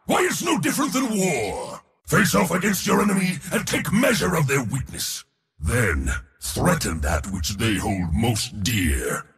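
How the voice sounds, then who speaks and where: An actor playing an arrogant, bombastic god of war in a video game, declaiming and gloating in a booming theatrical voice. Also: male voice, big pitch swings, measured pace, vocal fry, fairly bright tone, long reverb tail, exaggerated voice. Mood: haughty amusement. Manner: booming, declamatory, gloating, short battle cries.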